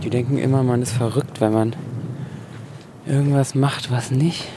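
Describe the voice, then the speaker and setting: A man's voice: mid-range voice, steady tone, moderate pace, background noise. A man giving a spontaneous account in short, hesitant fragments. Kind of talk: slow, halting spontaneous account.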